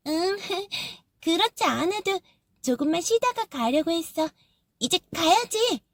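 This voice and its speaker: Woman voicing a chirpy little moss, high and bouncy, dry close sound. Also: lively intonation, measured pace, dry recording, exaggerated voice. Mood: cheerful. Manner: bright, chirpy, polite. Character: cheerful, chatty little moss.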